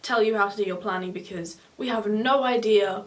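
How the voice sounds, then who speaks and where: A young woman, a student, giving exam advice in a casual on-camera chat, talking fast with lots of self-corrections. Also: mid-range voice. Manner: chatty, animated hand gestures, self-correcting. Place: small home room, indoors. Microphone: camera in front of two seated speakers.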